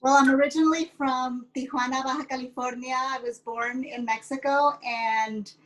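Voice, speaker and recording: A woman's voice: sits high, fairly even intonation, normal speed, a little hiss or hum, rough recording. Chatty middle-aged woman telling her story. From San Jose, United States. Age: 50s. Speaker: interview guest, educator and former pastry chef. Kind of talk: casual personal storytelling in an interview.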